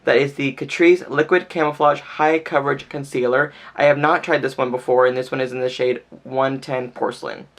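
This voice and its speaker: Brief: male beauty vlogger, mid-pitched, chatty and casual, slightly stuffy nose. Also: fairly even intonation, fairly quick, hardly any pauses, slight background noise. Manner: chatty, fills speech with "like". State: stuffy, nasal from sneezing. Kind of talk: casual makeup tutorial talk.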